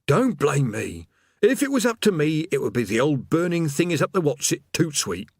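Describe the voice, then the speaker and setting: Male narrator as storybook demon, mournful petty bureaucrat, aggrieved grumbler, highly animated pitch, dry recording. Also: normal speed, no echo, exaggerated voice. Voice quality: rasping, rumbling. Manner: plodding recited customer-service patter breaking into chatty working-class grumbling. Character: put-upon bureaucratic demon gatekeeper, many-mouthed, grumbling, mournful.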